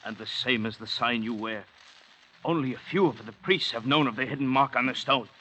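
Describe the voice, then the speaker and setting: A bright-voiced, formal loyal servant. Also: male voice, mid-range voice, slightly rough, rough recording. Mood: grave. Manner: formal, measured, archaic phrasing. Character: loyal, watchful native interpreter serving his master. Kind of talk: 1940s radio drama acting. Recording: old radio recording, faint noise.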